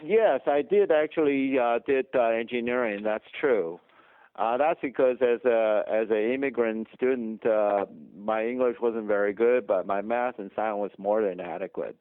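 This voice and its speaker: A man's voice: medium pitch, normal speed, dry recording. Taiwanese-accented man on a tinny line. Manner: emphatic, explanatory, chuckles often. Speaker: dentist guest. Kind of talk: interview conversation. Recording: dry tinny phone line.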